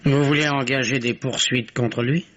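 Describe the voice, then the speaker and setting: An actor playing a nosy, wry police detective with sly interjections in a 1950s French radio mystery. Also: male voice, mid-range voice, fairly even intonation, moderate pace, a bit bright, natural voice. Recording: noisy, muffled vintage radio recording.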